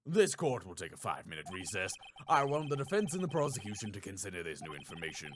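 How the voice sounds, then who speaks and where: A man voicing a stern elderly male courtroom judge in a game, formal and measured. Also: deep voice, very animated, fairly bright tone, voice pushed down, full character voice. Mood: stern, solemn.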